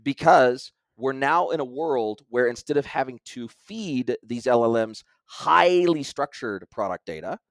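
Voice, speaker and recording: A man's voice: medium pitch, animated, a little slow, hardly any pauses, dry recording, clean studio sound. A man as an interview guest, explaining technical ideas at length in casual conversation, often restarting his sentences. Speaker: guest expert.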